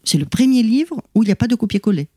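A middle-aged Italian woman talks in French with a clear Italian accent, since it is not her first language. She explains in a lively, animated way, breaking off to restart and checking in with her listener. The room sound is dry, with no echo. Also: fairly high pitch, fast talker. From Italy. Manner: animated and explanatory, frequent "tu vois" asides and restarts. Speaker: guest, lithotherapist. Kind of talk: conversational interview explanation.

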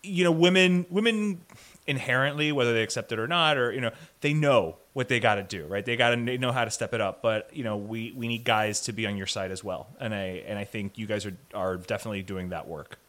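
Bright-voiced male podcast host. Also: fairly low pitch. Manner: friendly, halting questions. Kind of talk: casual interview.

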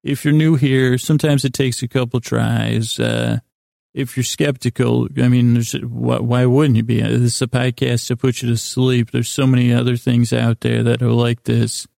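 A man hosting a sleep podcast in a rambling bedtime monologue, halting and stumbling over his words, repeating himself and losing his thread, with a gravelly voice. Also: mid-range voice, little pitch movement, a little mellow, dry recording, clean studio sound. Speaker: podcast host.

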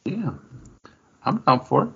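A man's voice: on the high side, very animated, unhurried, creaky, breathy edge. A man co-hosting a podcast, talking casually about entertainment news. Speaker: podcast co-host. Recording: dull, lo-fi podcast audio.

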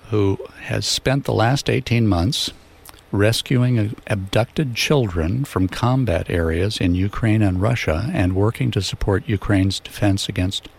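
An older man with a low, gravelly voice hosts a talk radio show. He speaks in a measured, deliberate way, like someone announcing and interviewing. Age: 60s or older. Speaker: radio show host and lawyer.

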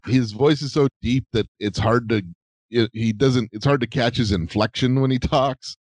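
A man's voice: mid-range voice, moderate pace, darkish tone, no echo. A man co-hosting a casual chat, earnest and a little self-mocking. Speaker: podcast co-host.

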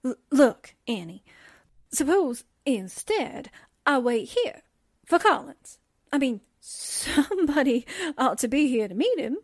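A woman's voice: sits high, very animated, slow pace, no echo, studio quality, exaggerated voice. A woman acting a nervous, wisecracking, reluctant reporter's sidekick in a 1940s-style radio drama, slangy and hesitant. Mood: nervous, coaxing. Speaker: actor in an audio drama.

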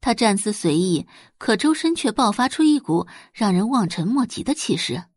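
A woman narrates romance fiction with animated, wide-ranging intonation. Her voice has a husky edge and is recorded close and dry, with a dramatic scripted storytelling delivery. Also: mid-range voice, normal speed, no room sound. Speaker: audiobook narrator.

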